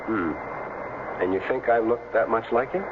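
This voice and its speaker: A man's voice: mid-range voice, moderate pace, background noise, close to an everyday voice. A man acting a wry, curious ex-football player who narrates and talks in a casual way in a 1970s radio drama. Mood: curious, probing. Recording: tinny vintage radio over music.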